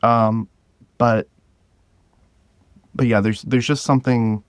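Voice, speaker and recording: A man talking casually and enthusiastically as an interview guest, in an informal, halting way. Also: mid-range voice, steady tone, smooth, no room sound. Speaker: podcast guest, drama creator.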